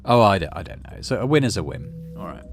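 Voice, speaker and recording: A man's voice: low voice, sing-song, quick pace. A man hosting a gaming video, reading story text aloud and adding casual commentary as he plays.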